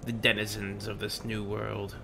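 Brief: hoarse male streamer, bright tense voice, chatty and self-mocking, faint noise behind. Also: lowish voice, completely flat delivery, moderate pace. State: losing his voice after the flu. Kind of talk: casual gameplay commentary.

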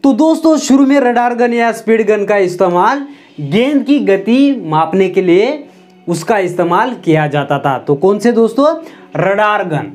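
A man's voice: high voice, animated, measured pace, a little mellow, soft background music. A young man teaching at a whiteboard, speaking on a lapel mic and addressing his audience again and again, asking questions and answering them himself. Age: young adult. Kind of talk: whiteboard lesson. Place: indoor room in front of a whiteboard.

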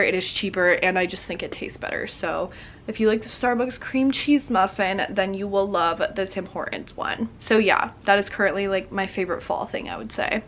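A woman's voice: medium pitch, fairly bright tone, a little hiss or hum. Chatty young woman with a sore throat. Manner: chatty, lots of "like" fillers. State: sore throat, a bit congested. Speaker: beauty vlogger. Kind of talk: casual get-ready-with-me chat. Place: bedroom at home. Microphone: camera in front, close framing.

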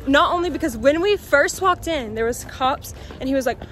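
A woman in her twenties, chatting casually into a handheld camera as she vlogs from a car and a hotel room, telling stories with quick asides. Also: sits high, lively intonation, fairly bright tone, slight background noise. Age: 20s. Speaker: vlogger. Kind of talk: casual vlog chat. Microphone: handheld camera at arm's length.

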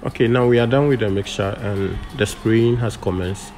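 A man's voice: on the low side, moderate pace, darkish tone, faint music behind. A man explaining farm work in an instructional voice-over, with frequent ums and okays. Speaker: farming channel presenter.

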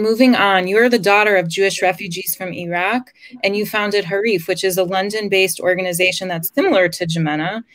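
A woman's voice: medium pitch, fairly bright tone, dry recording. A woman moderating a video call panel, asking questions in an appreciative way.

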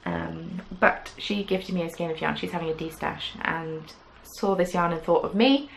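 A woman's voice: fairly low pitch, normal speed, creaky, slight background noise, rough recording. A young woman hosting a knitting podcast, chatting casually to camera from a small craft room, rambling with lots of "um"s and playful asides. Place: small indoor craft room with yarn shelves. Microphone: camera at desk distance.

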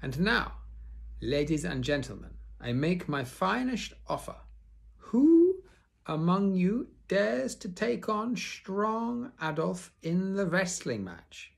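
A man's voice: sing-song, takes it slowly, creaky, exaggerated voice. A man voicing a pompous foreign circus ringmaster who bellows his announcements in broken English. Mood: grandiose. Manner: booming showman patter, broken grammar.

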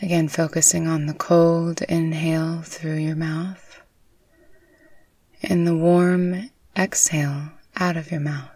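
A woman narrating a guided meditation in a soothing, unhurried, gently instructive way. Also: low-pitched, flat delivery, husky, dry recording. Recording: distant mic with faint background noise.

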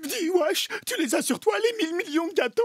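A woman's voice: very high voice, lively intonation, a little fast, background noise. A woman voicing a naive, anxious young male wild boar, a childlike sidekick in a children's cartoon. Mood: content. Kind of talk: cartoon voice acting.

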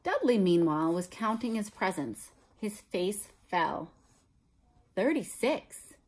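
A woman's voice: mid-range voice, animated, unhurried, a little mellow. A middle-aged American woman, a teacher reading a children's story aloud expressively. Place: small room at home, indoors.

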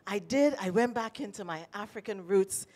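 A middle-aged woman with a Trinidadian accent, sharing a personal story at a panel with animated, emotional delivery, speaking into a handheld mic. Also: mid-range voice, normal speed. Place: panel stage in a large indoor room.

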